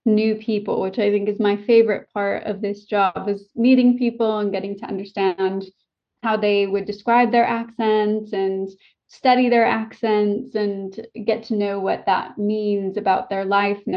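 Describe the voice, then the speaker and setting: A woman's voice: mid-range voice, little pitch movement, unhurried, dull audio. An American woman who works as a voice and dialect coach, with a slight English tinge to her accent, speaking in an articulate, reflective and enthusiastic way in an interview. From United States. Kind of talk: interview over a call.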